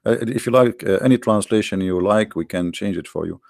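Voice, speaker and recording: A man hosts a live online debate in a gravelly voice. He teases and questions his opponent in a sarcastic, mocking way. The sound is close and dry. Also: fairly low pitch, a little fast, a little mellow, no echo. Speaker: debate host.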